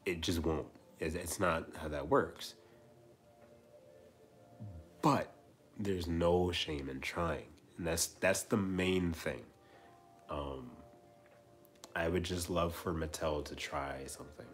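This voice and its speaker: A man in his twenties hosting a casual livestream chat, rambling and repeating himself with soft trailing asides. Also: low-pitched. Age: 20s. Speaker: livestream host.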